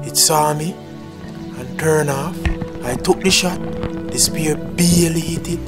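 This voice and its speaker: A man, calmly narrating his own spearfishing footage in a plain, matter-of-fact voice-over. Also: a little high, unhurried. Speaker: spearfishing vlogger. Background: loud new-age music.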